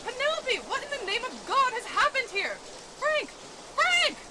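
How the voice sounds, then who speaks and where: A woman's voice: extremely high pitch, very animated, normal speed, very noisy. A woman playing a haughty, theatrical rich widow who likes her drink in an amateur murder mystery audio drama. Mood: shocked. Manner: theatrical, indignant.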